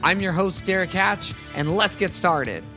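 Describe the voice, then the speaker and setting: A man hosting his own podcast, talking solo in an earnest, chatty way with a hoarse, raspy voice from losing it. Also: high-pitched, animated, unhurried, music in the background. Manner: earnest, chatty, self-correcting. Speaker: podcast host.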